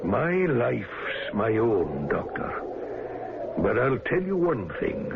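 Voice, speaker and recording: A stubborn, commanding old skipper from a vintage radio play. His gravelly voice rises and falls dramatically, curt and brooding. The recording is narrow and tinny, thick with noise. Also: medium pitch, sing-song, takes it slowly, full character voice. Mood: defiant. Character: stubborn, brooding old whaling ship captain.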